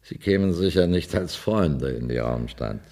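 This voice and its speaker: Male senior space-city official for radio drama: deep, lively intonation, businesslike, calculating, slightly hesitant. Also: moderate pace, full character voice. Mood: businesslike, persuasive. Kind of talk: German radio drama acting.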